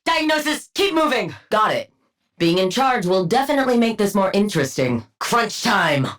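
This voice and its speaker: A woman's voice: medium pitch, sing-song, moderate pace, a bit bright. An actress voicing a brainy, exacting woman scientist supervillain for a video game, precise and nerdy, with a dry sardonic edge. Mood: brisk, commanding. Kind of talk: video game combat barks and banter.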